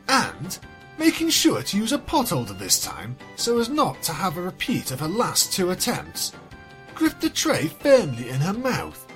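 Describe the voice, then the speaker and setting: A woman reading fan fiction aloud as a dramatic scripted narration, with a wry, theatrical manner. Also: medium pitch. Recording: music behind the voice.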